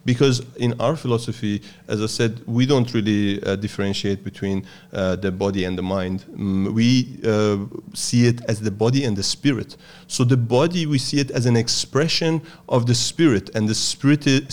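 A man from Iran, a guest on an interview, explaining his practice in a measured way with frequent pauses and fillers. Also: mid-range voice, darkish tone. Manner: measured and explanatory, with many hesitations. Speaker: guest, Persian strength and yoga teacher. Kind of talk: thoughtful interview answers.

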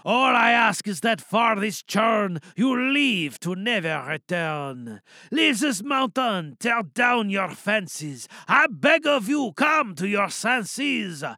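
A man performs an ancient, brooding troll of the mountain in an audio drama. The voice is high and gravelly, very bright and forceful. It declaims its rhymes with grand, wounded menace. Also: big pitch swings, no echo, studio quality, exaggerated voice. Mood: imploring, threatening. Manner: declamatory rhymed verse, drawn-out words. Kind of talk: theatrical audio drama monologue.

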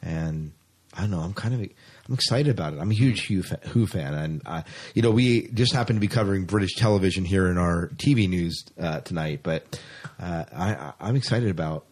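Low-voiced, enthusiastic male podcast host. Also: lively intonation, a little fast, darkish tone, slightly rough. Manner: enthusiastic, chatty. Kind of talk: casual sci-fi news chat.

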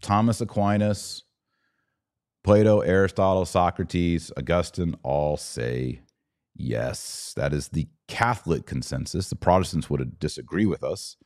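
Deep, bright-voiced man, emphatic podcast host. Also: measured pace. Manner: emphatic, opinionated, with short declarative statements. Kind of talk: conversational religious and political commentary.

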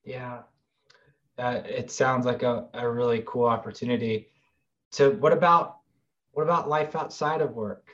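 A man's voice: medium pitch, fairly even intonation, a little slow, fairly bright tone, muffled recording. An American man hosting a podcast, asking questions in a loose, rambling way. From United States. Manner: rambling, many fillers and restarts. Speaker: podcast host. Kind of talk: casual interview chat.

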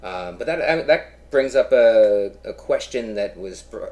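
A man's voice: fairly low pitch, expressive, unhurried, a little mellow. A man from Philadelphia hosting a casual interview, asking easygoing questions with lots of "yeah" and "uh". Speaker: podcast host.

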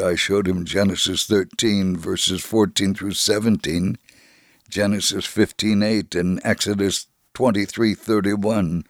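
Slow, deliberate man with a low voice. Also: no room sound, studio quality. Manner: measured, deliberate, earnest. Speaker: reader of a devotional testimony. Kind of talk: scripted first-person narration.

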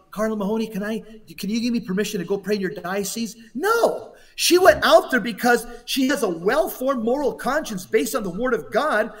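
High-pitched emphatic man, off-mic. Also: fairly bright tone, recorded from a distance. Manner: emphatic, preaching, rhetorical questions. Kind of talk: impassioned talk commentary.

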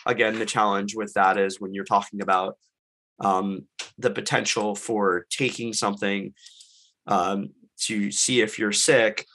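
A man's voice: on the low side, flat delivery, a little slow, pushed and bright, slight background noise. A man who is congested from illness, talking through a panel discussion in a rambling way, with many fillers and restarts. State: congested, getting over illness. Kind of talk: conversational panel talk.

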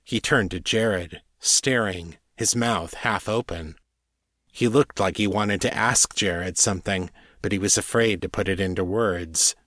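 Low-voiced man narrating, close and dry. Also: measured pace, fairly bright tone, dry recording. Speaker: author narrating own audiobook podcast and host. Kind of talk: scripted narration.

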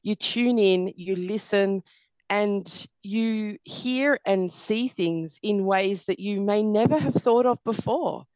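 A middle-aged woman, a podcast guest, speaking earnestly with a croaky, tired voice and lots of "you know" fillers. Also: mid-range voice, slow pace, a little mellow, no echo. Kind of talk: reflective interview conversation.

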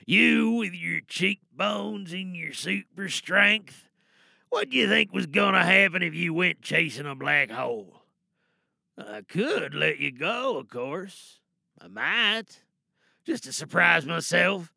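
Man performing a high, drawling, theatrical supervillain. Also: lively intonation, takes it slowly, gravelly, pushed and bright, dry recording, clean studio sound, exaggerated voice. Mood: taunting, obsessed. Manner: theatrical, drawling, savoring each phrase. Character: flamboyant, gloating supervillain, secretly smitten with captive hero. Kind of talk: dramatic villain monologue for audio drama.

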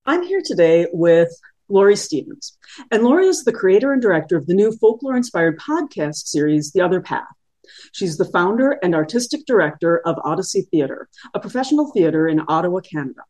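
A woman's voice: on the low side, very animated, a little fast, a little mellow. A woman podcast interviewer introducing and questioning a guest in a conversational way.

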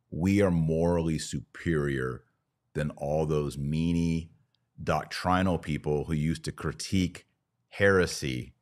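A man's voice: extremely low pitch, darkish tone. A man hosting a podcast, giving conversational religious commentary with emphatic, repeated phrasing. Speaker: podcast host.

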